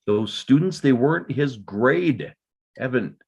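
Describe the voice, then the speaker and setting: A man's voice: mid-range voice, lively intonation, takes it slowly, vocal fry, darkish tone, husky, full character voice. A man playing a defensive, guilt-ridden father in a dramatic script read, terse and insistent. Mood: stubborn.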